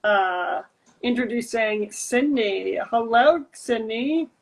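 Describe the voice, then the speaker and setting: A woman in her twenties hosting a casual interview, speaking haltingly in short phrases with pauses. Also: on the high side, expressive, vocal fry, poor audio. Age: 20s. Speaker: podcast host.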